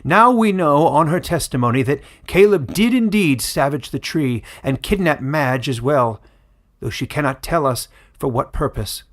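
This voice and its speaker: A man's voice: fairly high pitch, lively intonation, normal speed, fairly bright tone, pitched above the performer's own voice. Pompous old male elder , acted by a man, fantasy audiobook. Raised, declamatory, solemn and self-righteous speechmaking. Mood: fawning.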